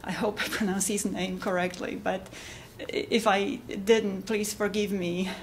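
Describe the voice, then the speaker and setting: A middle-aged woman with a Polish accent, talking informally and haltingly about her own work as a composer. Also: medium pitch, level tone, slight background noise. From Poland. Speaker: composer giving updates. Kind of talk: informal monologue to camera.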